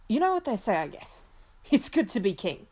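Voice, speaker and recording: A woman's voice: mid-range voice, sing-song, normal speed, darkish tone. A woman voicing a drunk, rambling male celebrity given to folksy old-timey exclamations, in an audio drama. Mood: tipsy enthusiasm. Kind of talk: voiced web-fiction audio drama.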